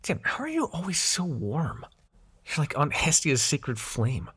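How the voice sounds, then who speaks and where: A man playing a brash, protective mythic ally in an intimate audio roleplay, talking casually and with gruff affection. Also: on the high side, wildly swooping pitch, measured pace, a bit bright, full character voice. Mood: gruffly tender. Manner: casual, sweary, gruffly tender.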